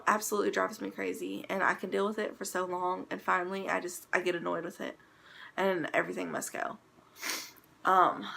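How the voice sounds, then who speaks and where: A young woman talks straight to camera from a bedroom at home, her voice stuffy and congested. The tone is bright with a gravelly fry underneath. She rambles in a chatty, casual way, filling gaps with ums. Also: medium pitch. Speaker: vlogger. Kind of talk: casual talking-to-camera vlog.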